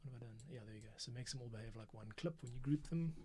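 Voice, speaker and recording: A man's voice: mid-range voice, racing. A man giving casual livestream commentary as he works, thinking aloud and explaining each step. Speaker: composer and livestream host. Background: music playback.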